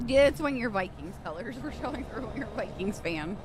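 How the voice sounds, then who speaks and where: A woman's voice: on the high side, animated, a little fast, noisy background. A woman co-hosting a live stream, chatting casually and reading chat messages aloud with frequent laughs. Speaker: stream co-host.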